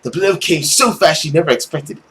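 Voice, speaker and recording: A young man reading a visual novel aloud as a gaming host, expressive and theatrical, recorded at home. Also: a little high, fairly quick, slight background noise. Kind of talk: dramatic read-aloud narration with reactions.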